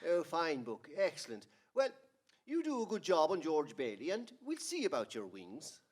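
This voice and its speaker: A man portrays a benevolent heavenly supervisor in a radio play. His voice is high and animated, with fatherly authority as he explains and instructs. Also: normal speed. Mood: brisk, kindly. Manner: avuncular, instructive. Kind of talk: old-time radio drama acting.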